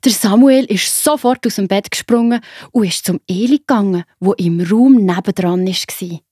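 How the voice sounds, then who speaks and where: Woman narrator, Swiss accent, slightly breathy husky voice, simple expressive children's storytelling, dry studio sound. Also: medium pitch, moderate pace, dry recording.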